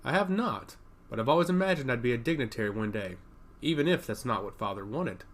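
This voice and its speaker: A man's voice: mid-range voice, lively intonation, brisk, lightly acted. A man voicing a hopeful, earnest princess who longs to be a diplomat, in formal storybook dialogue. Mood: earnest, wistful.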